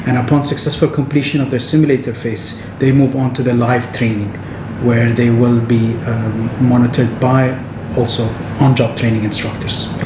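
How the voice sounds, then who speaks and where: A man's voice: mid-range voice, level tone, moderate pace, few pauses. A man working as a training head, explaining procedures in an interview. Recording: echoey room, clear background noise.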